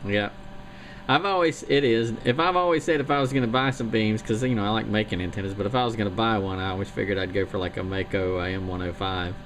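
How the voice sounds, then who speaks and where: A man chatting easily on amateur radio, talking through gear and antennas in a relaxed, technical way. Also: lowish voice, fast. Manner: easygoing, technical talk. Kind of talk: casual radio chat. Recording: hissy shortwave radio signal.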